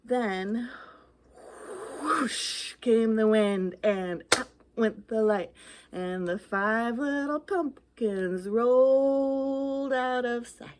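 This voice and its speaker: A woman reading picture books aloud as a storytime host, with dramatic, expressive narration that draws out key phrases. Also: on the high side, extremely slow, a little hiss or hum. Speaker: library storytime reader.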